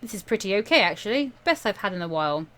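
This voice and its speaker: A woman's voice: mid-range voice, very animated, fast, fairly bright tone. A woman voicing a casual, wry adult male mentor in a visual novel, coaxing and giving instructions. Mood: casual, bossy.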